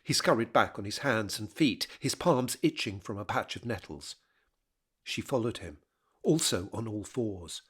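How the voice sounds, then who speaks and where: A man narrating a scripted period drama in a measured, rhetorical manner. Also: fairly low pitch, expressive, slightly rough.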